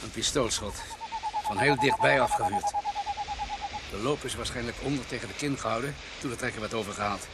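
An actor playing a blunt, matter-of-fact village doctor in a radio drama, with a dry, clinical, outspoken manner. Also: male voice, mid-range voice, wildly swooping pitch, fairly quick, very noisy.

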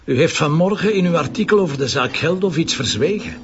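Slightly muffled radio drama with music underneath. A man plays a sardonic Flemish police commissioner who probes and needles. His delivery swings widely in pitch while staying natural and conversational. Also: expressive, brisk, natural voice. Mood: sardonic, insistent. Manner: probing, dryly sarcastic. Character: shrewd, sardonic male police commissioner questioning a witness. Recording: slightly dull lo-fi audio, music behind.

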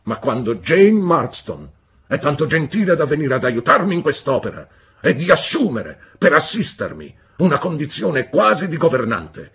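A man's voice: fairly high pitch, sing-song, fairly bright tone, husky, pitched above the performer's own voice, heavily acted. A man voicing a stern, domineering husband who speaks with cold severity. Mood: severe. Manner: thundering, stern, slow.

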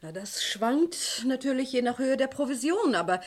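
A woman's voice: high-pitched, lively intonation, moderate pace. A woman playing a helpful, slightly fussy bookkeeper in a German radio crime play, precise and obliging.

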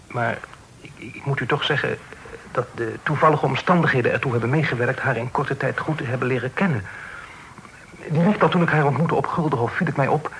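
An actor playing an earnest, deferential young son in love in a period radio drama, hesitant, polite and formal. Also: male voice, mid-range voice, a little fast, fairly bright tone, natural voice. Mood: respectful, nervous. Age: young adult. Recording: noisy old radio play recording.

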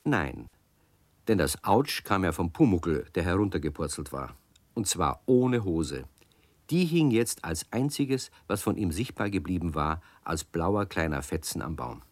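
A man narrating a children's radio play in a calm, gently wry storytelling manner. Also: low voice, measured pace, no echo.

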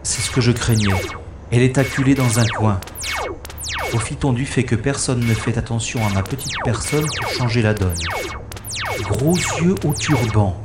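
A man's voice: medium pitch, normal speed, roomy sound, loud music behind the voice, natural voice. An actor playing a wisecracking special agent who narrates his own science-fiction adventure in a wry, theatrical way. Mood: wry relief. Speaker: lead actor and narrator of an audio drama. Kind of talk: dramatised first-person narration, sci-fi radio play.

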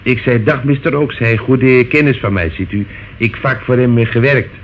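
An actor playing an earnest Italian immigrant witness in a radio play, speaking in halting, broken sentences. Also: male voice, mid-range voice, natural voice. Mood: earnest, puzzled. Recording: muffled, noisy old radio recording.

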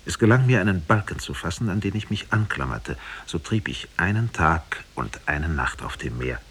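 A man's voice: low-pitched, animated, moderate pace, a bit bright, slight background noise. An actor playing a learned former prince who recounts his adventures formally in a 1950s radio play. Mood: solemn recounting. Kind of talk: 1950s German radio drama storytelling.

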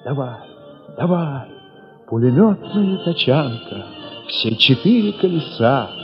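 A man narrating an audio play in the first person, expressive and theatrical, with emphatic pauses. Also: medium pitch. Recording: muffled, long reverb, music underneath.

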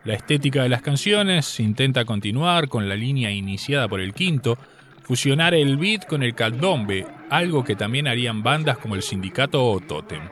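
A man with a Uruguayan Spanish accent reads scripted radio narration as a segment host, in a measured, literate, explanatory way. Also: medium pitch, expressive, fairly bright tone, a little hiss or hum.

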